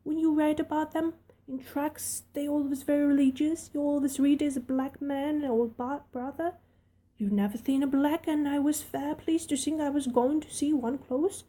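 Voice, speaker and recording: A woman's voice: high-pitched, steady tone, normal speed, dark tone, voice pushed up, lightly acted. A woman voicing a plain-spoken young Yorkshire housemaid with broad dialect, cheerful and frank. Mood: blunt.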